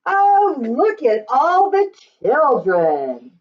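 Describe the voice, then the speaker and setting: A woman reading a picture book aloud to young children in an expressive, sing-song way. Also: sits high, very slow. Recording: slightly dull, lo-fi recording.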